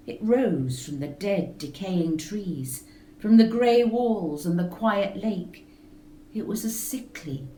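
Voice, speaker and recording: A woman narrating a gothic story in a measured, expressive reading. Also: medium pitch, echoey room, slight background noise, low-quality recording. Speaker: reader of a story. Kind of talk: scripted narration of a gothic tale.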